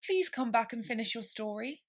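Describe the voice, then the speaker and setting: A woman's voice: a little high, expressive, moderate pace, voice pushed up. A woman voicing a polite, curious little girl in a storybook, speaking in an earnest, eager way. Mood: pleading.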